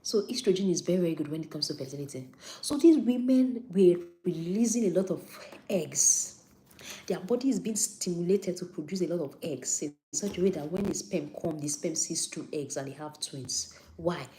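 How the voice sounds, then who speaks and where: Nigerian woman, young adult, low voice, live-stream health talk, chatty, repeats herself for emphasis. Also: normal speed, mellow, slight background noise, rough recording. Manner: chatty, repeats points for emphasis, answers viewer comments.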